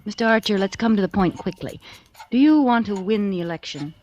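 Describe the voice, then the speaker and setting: Cold scheming wife played by an actress, crackly old recording. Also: female voice, mid-range voice, expressive, brisk, darkish tone, muffled recording, lightly acted. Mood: brisk, businesslike. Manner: calculating, insistent, persuasive. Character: cold, scheming politician's wife plotting betrayal and murder. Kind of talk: 1940s radio acting.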